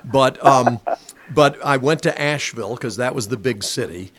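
A man's voice: mid-range voice, moderate pace, background noise. A man from western North Carolina hosting an interview, affirming his guest and telling stories of his own. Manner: warm affirming interjections, storytelling. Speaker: interview host. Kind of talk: conversational interview.

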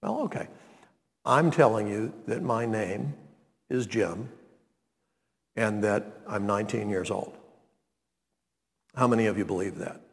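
A man, preaching a sermon and reading scripture, deliberate and emphatic. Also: lowish voice, gravelly. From North Carolina, US. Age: 90s. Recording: long reverb of a large hall.

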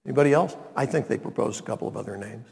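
A man, preaching a sermon and reading scripture, deliberate and emphatic. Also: mid-range voice, gravelly, darkish tone. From North Carolina, US. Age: 90s. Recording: long reverb of a large hall.

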